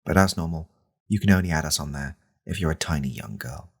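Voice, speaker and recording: A man playing a creepy, self-serious right-wing podcast host in a comedy parody, deadpan and halting, with a gravelly, husky voice. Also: very deep voice. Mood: smug, solemn.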